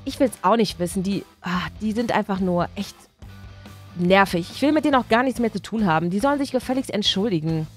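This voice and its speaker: A woman's voice: medium pitch, a little fast, soft background music, voice pushed down, lightly acted. A woman voicing a snooty, jealous teenage mean girl, scornful and easily offended, in a children's story. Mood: huffy, resentful. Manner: scornful, huffy youth slang. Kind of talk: acted toy-figure story for children.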